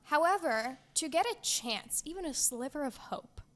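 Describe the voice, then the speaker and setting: Animated, higher-voiced woman co-host. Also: moderate pace. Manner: animated, laughing, playfully dramatic. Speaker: podcast co-host. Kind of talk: casual gaming chat.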